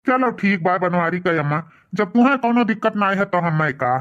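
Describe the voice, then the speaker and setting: A man's voice: sits high, lively intonation, brisk, fairly bright tone, muffled recording. A man voicing a grumbling but concerned old village husband in a cartoon comedy, scolding and questioning, with an eastern Uttar Pradesh accent. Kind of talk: cartoon comedy dialogue in rural dialect.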